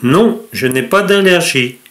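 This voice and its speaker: A man's voice: on the high side, animated, a little slow, fairly bright tone. A man playing a tired male patient with a cold in a scripted dialogue for learners. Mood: weary.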